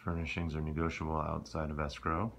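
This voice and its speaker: A man, a real estate agent, giving a relaxed, offhand walkthrough of a condo while filming on a handheld phone. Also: a bass voice, a little fast, gravelly, a little hiss or hum. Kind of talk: casual property walkthrough. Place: condo interior, walking through rooms.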